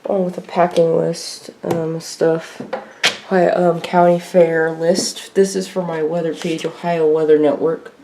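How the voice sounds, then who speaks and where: A woman giving a casual, unscripted show-and-tell at home in a halting way, as a hobbyist vlogger. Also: fairly low pitch, creaky. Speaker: weather radio hobbyist vlogger. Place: indoor room at home. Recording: noisy homemade video with clicks.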